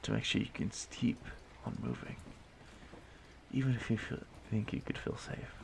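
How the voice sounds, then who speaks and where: A man playing an ageless stalker in an audio roleplay, speaking to his prey in a hushed, halting, softly menacing way, often breathy and whispering. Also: fairly low pitch, expressive, a little hiss or hum. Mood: taunting, admiring. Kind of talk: audio roleplay monologue addressed to the listener.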